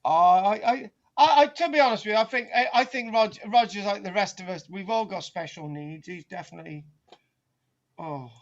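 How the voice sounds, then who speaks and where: A woman's voice: mid-range voice, moderate pace, lo-fi sound. A woman hosting a casual group chat. She teases the others and often restarts her sentences. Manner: stammering restarts, teasing, chatty.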